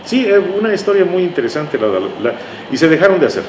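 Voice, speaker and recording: A man's voice: fairly high pitch, big pitch swings, fast talker. A man with a Mexican accent speaking as an interview guest, telling anecdotes conversationally and often ending with tag questions. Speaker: interview guest, writer recalling his radio work. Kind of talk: conversational reminiscing. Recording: echoey room, noisy ambient hum.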